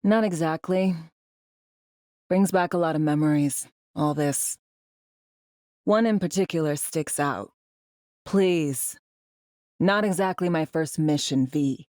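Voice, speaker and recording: A video game secret agent, female, tough and jaded. The voice sits on the low side and is recorded dry and close. The delivery is blunt, slangy and sardonic, with a world-weary edge. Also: takes it slowly, pauses often, darkish tone, no echo. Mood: subdued, reflective. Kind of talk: video game character dialogue.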